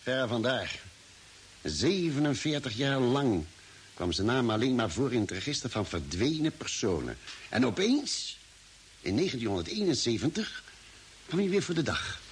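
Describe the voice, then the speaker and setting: An actor playing an earnest spaceship doctor in an old radio play, explaining deliberately with dramatic pauses. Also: male voice, medium pitch, very animated. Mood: emphatic, explaining. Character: earnest spaceship doctor, reasoning out a grim theory. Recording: hissy vintage radio drama recording.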